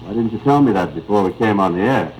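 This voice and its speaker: A man's voice: lowish voice, expressive, fast talker, darkish tone, slight background noise. An American man interviewing an athlete with scripted banter on a 1930s radio broadcast, slightly distorted.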